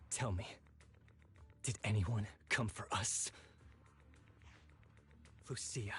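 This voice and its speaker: An actor playing an ambitious, ruthless zealot in a video game, husky-voiced, bitter and taunting in a theatrical way. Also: male voice, on the high side, a bit bright. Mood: fervent, persuasive. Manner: theatrical, taunting, sneering villain delivery. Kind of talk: dramatic video game voice acting.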